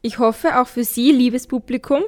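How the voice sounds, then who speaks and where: A woman's voice: a little high, fairly even intonation, moderate pace. A young woman hosting a school radio interview, politely reading out her questions. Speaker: student radio host.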